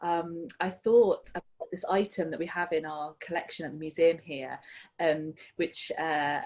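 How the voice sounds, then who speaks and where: A woman's voice: deep voice, fairly even intonation, measured pace, darkish tone, dull audio. A woman hosting a museum interview over a video call, speaking with many hesitant ums. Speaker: museum host.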